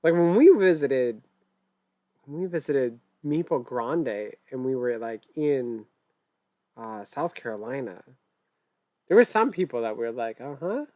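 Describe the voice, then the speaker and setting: Streamer co-host, thirties, male, animated delivery, verbose and deadpan. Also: medium pitch, measured pace, pauses often, no room sound. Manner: wordy, dry joking, teasing. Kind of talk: casual chat while playing an online board game. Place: home room, indoors.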